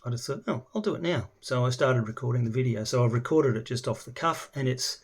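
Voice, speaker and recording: A man's voice: mid-range voice, lively intonation, fast, husky. An Australian man, middle-aged, introducing himself casually and talking with lots of ums and restarts. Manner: hesitant, many ums and restarts. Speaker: language learner. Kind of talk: casual self-introduction video.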